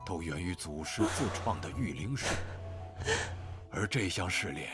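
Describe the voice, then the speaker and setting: A stern old sect master. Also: male voice, sits low, expressive, unhurried. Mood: solemn. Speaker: game character voice. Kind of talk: dubbed game dialogue. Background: clear game music.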